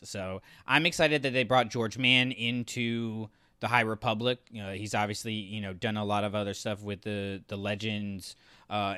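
A man's voice: fairly low pitch, sharp tone, clear, resonant tone, no room sound, studio quality. A man co-hosting a podcast, giving enthusiastic, conversational opinions in a casual review discussion. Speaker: podcast co-host.

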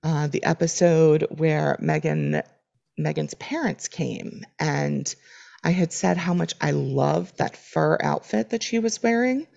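Low gravelly woman, dry podcast co-host. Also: slow, darkish tone, highs cut off. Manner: dry, self-deprecating humor with frequent restarts. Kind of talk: casual chat.

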